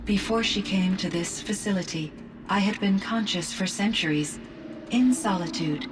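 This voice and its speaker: A woman playing a gentle artificial intelligence in a video game, speaking in a formal, precise and measured way. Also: on the low side, far from the mic. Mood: calm, reflective.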